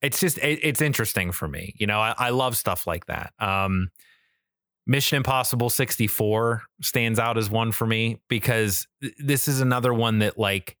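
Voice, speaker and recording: A man's voice: fairly low pitch, fairly bright tone, dry recording, clean studio sound. A man co-hosting a podcast in casual, enthusiastic chat, swearing freely and often checking in with "right?". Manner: enthusiastic, profane, peppered with "like" and "right?". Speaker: podcast co-host. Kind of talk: casual podcast chat about games and films.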